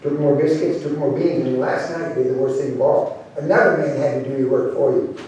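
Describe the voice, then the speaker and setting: A stern, fair-minded trail boss played by a male storyteller speaks in a measured, admonishing way. It is a live cowboy tale, picked up from far off in a very reverberant room with faint noise. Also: mid-range voice, fairly even intonation, dark tone, natural voice. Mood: grave. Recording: very echoey room, distant mic, faint noise.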